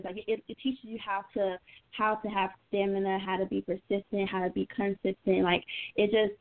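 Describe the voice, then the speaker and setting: A young American woman, a singer being interviewed, chatting informally and earnestly and often repeating her phrases. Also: mid-range voice. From United States, Atlanta area. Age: young adult. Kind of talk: casual interview chat. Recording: tinny phone-line sound.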